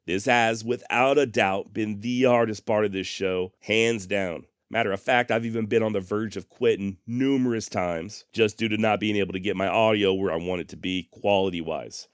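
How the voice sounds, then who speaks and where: A man hosting a podcast in a deep voice, talking casually and plainly to his listeners with emphatic, salty phrasing, close on a studio microphone. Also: fairly even intonation, normal speed, few pauses, smooth, no echo. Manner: plainspoken, mildly profane, emphatic. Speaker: podcast host. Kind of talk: casual direct address to listeners. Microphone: close dynamic studio microphone, processed.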